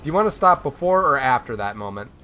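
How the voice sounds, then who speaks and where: A man's voice: mid-range voice, big pitch swings, fast, pushed and bright. A man casually co-hosting a reaction commentary, given to playful, theatrical exclamations. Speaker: co-host of a reaction stream.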